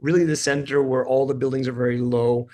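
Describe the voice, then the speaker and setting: A man's voice: medium pitch, flat delivery, a little fast, darkish tone. A middle-aged man from Canada, an interview guest, talking casually and rambling with fillers and restarts. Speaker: interview guest, English teacher.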